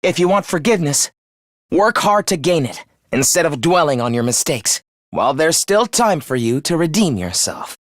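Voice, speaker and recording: Eerily polite, fervent young game fighter. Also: male voice, fairly high pitch, sing-song, moderate pace, no echo, clean studio sound. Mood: stern, measured. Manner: theatrical, formal, courteous speech with morbid devotion. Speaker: voice actor. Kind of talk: dramatic video game character acting.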